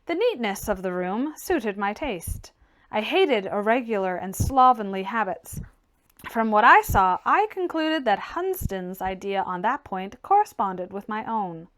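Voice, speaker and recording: A woman's voice: medium pitch, big pitch swings, measured pace, fairly bright tone. A woman reading literary fiction aloud as scripted audiobook narration. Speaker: audiobook reader.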